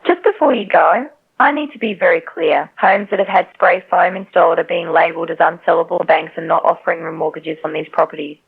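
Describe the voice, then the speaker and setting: A narrow, tinny phone line carries a woman's AI telemarketer voice, lowish and crackly with vocal fry. She is polite and persistent, working through a scripted pitch. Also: fairly quick, hardly any pauses. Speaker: AI robocall telemarketer. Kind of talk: scripted sales call.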